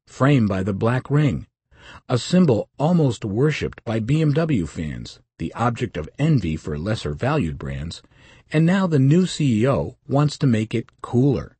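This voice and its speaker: An older man from Switzerland with a Swiss accent, reading his memoir in a measured, dry storytelling manner. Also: medium pitch, warm tone, breathy edge, no echo. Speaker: memoir narrator. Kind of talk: scripted first-person narration.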